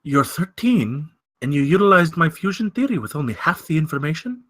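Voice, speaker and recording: A man's voice: a little high, sing-song, a little fast, a bit bright, no room sound, studio quality, pitched above the performer's own voice. A man voicing a polite older male scientist who speaks in formal, wordy sentences at a live script reading. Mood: curious. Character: older silver-haired scientist, male, courteous, bookish, wounded.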